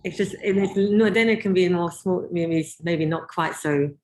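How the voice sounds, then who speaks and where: A woman with a low voice hosts a casual podcast conversation. She speaks gently and affirmingly, reflecting back what her guest shares. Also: normal speed, a little hiss or hum, low-quality recording. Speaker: podcast host.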